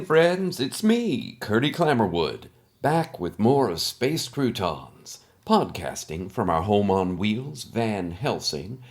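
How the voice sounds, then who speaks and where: Guy doing a folksy showman podcast host, gravelly, really animated swoopy delivery, chummy n wry. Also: mid-range voice. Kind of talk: scripted comedic podcast narration.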